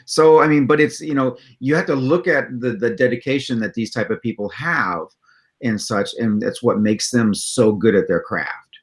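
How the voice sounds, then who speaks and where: A man's voice: mid-range voice, normal speed. A man co-hosting a livestream in casual, unscripted discussion. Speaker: livestream co-host.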